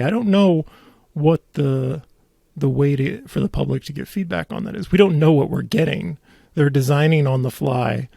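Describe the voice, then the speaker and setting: A man's voice: a little high, gravelly, darkish tone, husky, dry recording, studio quality. A man hosting a local politics show, delivering indignant, opinionated commentary with emphatic repetition. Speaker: podcast host.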